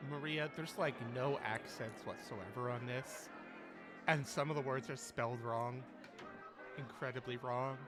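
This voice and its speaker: A man's voice: mid-range voice, fairly even intonation, measured pace, music in the background. A man acting as game master, narrating and explaining in a casual way.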